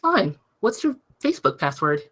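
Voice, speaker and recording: A woman's voice: low voice, big pitch swings, normal speed, a bit bright, breathy edge, exaggerated voice. A woman playing a nagging, suspicious fiancée in a comedy table read, pointed and insistent. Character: nagging, suspicious fiancée set on her wedding.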